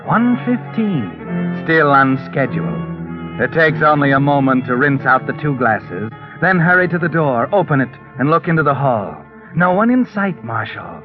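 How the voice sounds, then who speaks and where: An actor playing a knowing, sardonic narrator of a 1940s radio mystery, taunting and suspenseful. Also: male voice, medium pitch, very animated, normal speed, natural voice. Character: sinister, knowing, all-seeing narrator taunting the schemer. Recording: muffled old radio recording, music behind.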